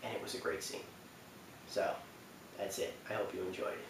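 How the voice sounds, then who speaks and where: Low-voiced man in very echoey room. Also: flat delivery. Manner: halting, emphatic, repeats himself. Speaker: video host. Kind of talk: informal commentary on game cutscenes. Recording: echoey room, distant mic, faint noise.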